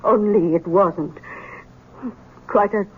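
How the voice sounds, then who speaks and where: A woman playing a frail but proud elderly mother, halting and dramatic, in old-time radio drama style. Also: medium pitch, fairly even intonation, slightly rough. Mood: indignant. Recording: noisy, muffled vintage radio broadcast.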